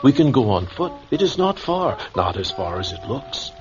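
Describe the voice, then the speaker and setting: An actor playing a solemn, courteous old scholar in a radio drama, speaking in formal, measured phrases. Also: male voice, medium pitch, big pitch swings, lightly acted. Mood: courteous, firm. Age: older. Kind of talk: vintage-style radio drama acting. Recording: dull lo-fi radio drama recording. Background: music.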